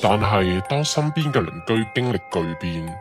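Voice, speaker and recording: A male podcast narrator with a Hong Kong accent and a fairly low voice. He reads a scripted ghost-story introduction, with music clearly playing behind him. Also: expressive, moderate pace. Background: clear background music.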